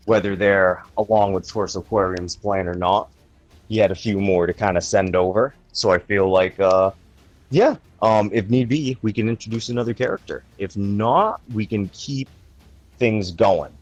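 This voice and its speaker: A man narrating a tabletop game as game master, relaxed and thinking aloud with plenty of fillers. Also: fairly low pitch, no echo, lo-fi sound.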